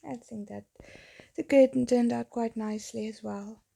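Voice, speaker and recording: Gravelly, mellow-voiced woman narrating her painting. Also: a little high, fairly even intonation, moderate pace. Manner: rambling, chatty, self-deprecating. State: losing her voice, getting sick. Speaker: artist narrating a painting video. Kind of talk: casual voice-over narration. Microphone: phone.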